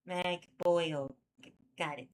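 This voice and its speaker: A woman with a slightly low voice talks casually as co-host of a comic readalong. Her delivery is informal and conversational. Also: steady tone, slow pace, crowd noise. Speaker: co-host reading a webcomic aloud.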